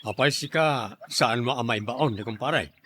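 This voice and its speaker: A man's voice: mid-range voice, a little slow, pushed and bright, slight background noise. A man playing a henpecked, hard-drinking husband in an audio drama, grumbling and protesting in a defensive manner. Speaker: voice actor in a drama. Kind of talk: Ilocano audio drama acting.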